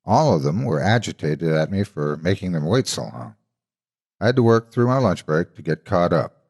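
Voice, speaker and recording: A man's voice: low voice, big pitch swings, moderate pace, darkish tone. A man working as a horror story narrator, reading a scripted tale in a dramatic style.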